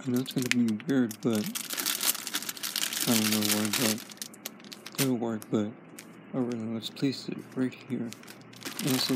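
A young man casually narrating his own home toy video, quietly and plainly. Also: mid-range voice, slight background noise, poor audio.

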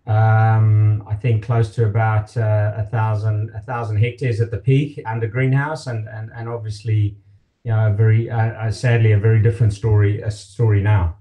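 A man in his fifties from Zimbabwe, a company director telling his story as a guest on a video call, in a relaxed way with many fillers. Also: lowish voice, normal speed, low-quality recording. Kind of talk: casual interview. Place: home office, indoors.

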